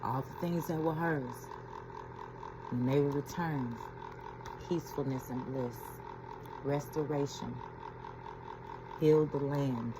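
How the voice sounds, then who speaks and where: A woman's voice: a bass voice, steady tone, slow pace, pauses often, background noise. A woman giving a reflective inspirational talk as a spiritual healing coach, repeating key phrases and laughing as she retells a story.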